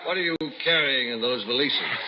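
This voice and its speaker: A man's voice: medium pitch, animated, moderate pace, dull audio, natural voice. Man acting a curt policeman, noisy radio recording. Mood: stern. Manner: curt, commanding. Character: stern, suspicious policeman challenging night walkers. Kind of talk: old-time radio drama acting.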